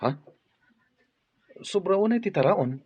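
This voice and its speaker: A man's voice: high-pitched, animated, slow pace, husky, full character voice. A man acting a bashful, hesitant household worker in a radio drama. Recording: muffled radio drama recording.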